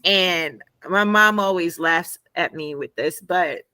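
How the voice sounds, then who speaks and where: A woman guest and former math teacher in a conversational interview, telling animated stories and laughing often. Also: fairly low pitch, slow pace, clear, resonant tone, no room sound.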